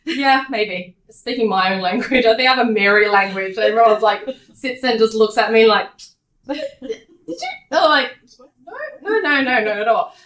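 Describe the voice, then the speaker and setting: A woman's voice: fairly high pitch, expressive, moderate pace, fairly bright tone. Chatty Australian woman in an echoey room. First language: Taiwanese. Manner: chatty, loose run-on sentences, many fillers. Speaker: guest, estate agent and DJ. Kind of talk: casual interview chat. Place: hotel room in a city. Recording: echoey room, poor mic.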